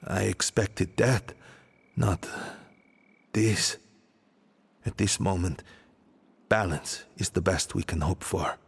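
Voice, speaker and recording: A man playing a grave, stoic, guilt-ridden warrior in a fantasy video game, speaking in a measured, halting way. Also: sits low, gravelly, breathy edge, heavily acted. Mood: solemn, resolute. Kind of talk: video game dramatic dialogue.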